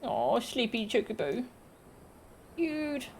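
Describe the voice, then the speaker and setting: A woman's voice: fairly high pitch, sing-song, slow, creaky, darkish tone, slight background noise. A woman giving casual live commentary as she streams a video game, chatting with viewers.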